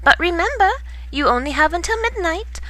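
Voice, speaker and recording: A woman's voice: very high voice, lively intonation, fairly quick, no room sound, pitched above the performer's own voice, full character voice, low-quality recording. A woman voicing a kind but bossy old fairy godmother for a storybook reading. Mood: warning. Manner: gentle but bossy, instructing.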